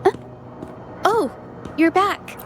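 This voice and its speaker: An actress voicing an earnest young female painter, gentle and reflective as she investigates. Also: very high voice, drawn-out words, soft background music, exaggerated voice. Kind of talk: video game voice acting.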